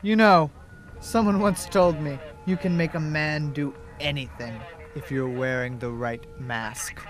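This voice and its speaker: A man's voice: fairly high pitch, steady tone, measured pace, natural voice. An actor playing a mafia hitman who poses as a rookie police officer in a radio drama, slyly calculating. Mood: smug menace. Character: mafia hitman posing as nervous rookie cop, slyly menacing.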